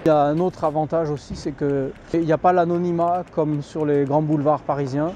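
A man in his thirties, talking through a face mask, calmly explaining his answers in an outdoor street interview. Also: a little high, a little fast, a little hiss or hum. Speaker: resident interviewed. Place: outdoor town street by a shop. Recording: slightly dull outdoor recording. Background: faint street ambience.

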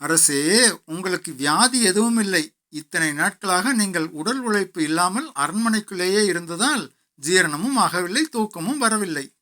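A man's voice: high-pitched, animated, unhurried, sharp tone, exaggerated voice. A man voicing a shrewd, witty court jester with a confident, explanatory manner. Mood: knowing, explaining.

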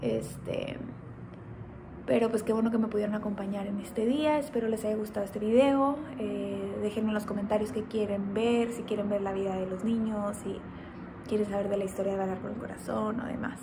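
A woman's voice: mid-range voice, steady tone, moderate pace, background noise. A young woman with a Mexican accent, talking casually to her phone while she walks across campus, upbeat and full of fillers. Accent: Mexican Spanish. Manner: chatty, upbeat, many fillers while walking. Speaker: vlogger. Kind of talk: casual vlog chat. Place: outdoors on a campus while walking, later a home kitchen. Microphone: phone at arm's length.

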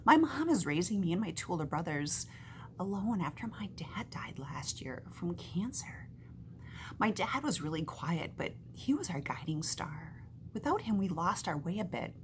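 A middle-aged woman reading a first-person story aloud in an animated, conversational storytelling manner, recorded indoors on a webcam. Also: fairly low pitch, normal speed. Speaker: author reading from own book. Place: small home room, indoors. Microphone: webcam at desk distance. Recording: faint background noise on webcam.

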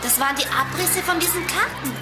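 A woman plays a sharp young girl sleuth with a high voice. She speaks decisively in clipped statements, in a children's radio mystery, with loud music underneath. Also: a little fast. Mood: alert, confident. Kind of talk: children's audio drama acting.

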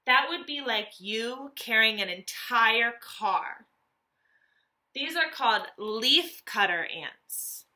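A woman reads aloud to young children in a teacher's animated manner. Her voice is fairly high and strikingly bright. She takes her time, enunciating each point deliberately for small listeners. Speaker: elementary school teacher. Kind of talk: children's nonfiction read-aloud lesson.

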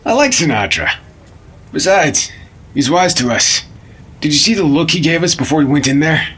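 A man's voice: fairly high pitch, very animated, moderate pace, a bit bright, husky, heavily acted. An actor playing a wry, grumbling 1950s federal agent teasing his partner in a radio drama. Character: wisecracking, grumbling senior FBI partner, 1950s.

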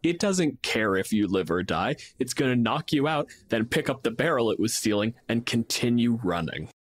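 A man with a mid-pitched voice talks casually as a podcast co-host. He reasons through game scenarios like a game master, illustrating points as he goes. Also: expressive, normal speed. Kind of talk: casual tabletop gaming discussion.

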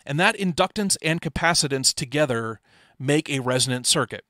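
Middle aged guy, slightly high voice, explaining techy slides casually, pretty dry sound. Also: animated, normal speed, pushed and bright, dry recording. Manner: explanatory, plain-spoken. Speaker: amateur radio presenter. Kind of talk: informal technical slide presentation.

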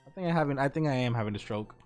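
A man's voice: mid-range voice, a little mellow. A young man hosting a casual live stream, bantering and cursing as he stumbles over his words. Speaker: streamer, host. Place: indoor home streaming room. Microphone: streaming mic. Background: music.

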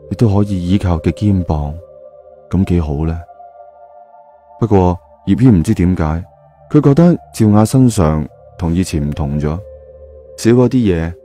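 A man's voice: deep voice, mellow. A man narrating an audiobook in a dramatic, colloquial storytelling style. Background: music.

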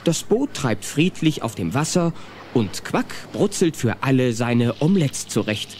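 A man's voice: mid-range voice, very animated, unhurried, darkish tone, slight background noise. A man narrating a children's audio play in a scripted storytelling style. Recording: music behind the narration.

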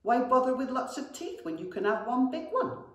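A woman's voice: high-pitched, animated, moderate pace, fairly bright tone, recorded from a distance, pitched above the performer's own voice. A woman voicing a bold, bossy male goblin with a pushy, sputtering way of talking, from a children's storybook. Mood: proud. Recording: very echoey classroom.

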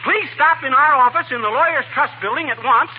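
An actor playing a boastful, excitable small-town American husband in a folksy, blustering 1940s radio comedy style. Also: male voice, very high voice, fairly quick. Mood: triumphant. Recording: muffled, noisy 1940s radio broadcast.

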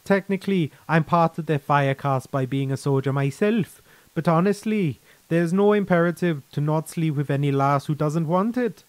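A man's voice: fairly high pitch, normal speed, a little mellow, dry recording, voice pushed up, natural voice. A man voicing a chatty, frank, easygoing veteran soldier in a sci-fi story, with colloquial, mildly profane, persuasive talk. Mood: candid, casual.

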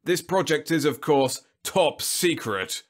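Man acting out a pompous, blustering army general, pitched up. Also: expressive, normal speed, a bit bright. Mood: proud. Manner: pompous, jovial, snappish. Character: proud, smug, blustering male army general.

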